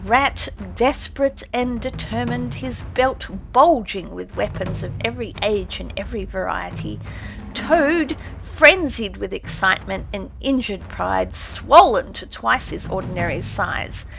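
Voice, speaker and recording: A woman narrating a classic children's story as an audiobook, reading in a lively, theatrical way. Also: medium pitch, measured pace, creaky, soft background music.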